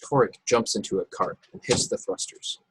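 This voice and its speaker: A man with a husky voice reading stage directions as narrator of a script table read over a video call. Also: lowish voice, normal speed, slight background noise, poor audio. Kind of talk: scripted narration with occasional stumbles.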